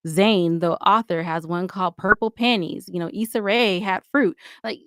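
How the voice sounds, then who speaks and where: A woman's voice: mid-range voice, moderate pace, fairly bright tone, dry recording, clean studio sound. An American woman chatting casually as a podcast guest, with an emphatic, colloquial manner. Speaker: podcast guest, audio drama producer. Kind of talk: casual interview chat.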